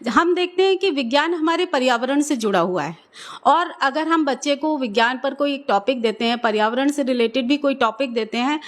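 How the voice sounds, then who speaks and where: A middle-aged woman, a government school teacher, answering interview questions in an earnest, persuasive way with some hesitant fillers. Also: high-pitched.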